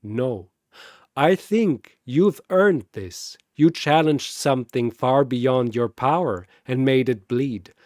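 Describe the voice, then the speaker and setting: Man doing a serene, ancient genie servant, slow and soft. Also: medium pitch, no room sound, clean studio sound, natural voice.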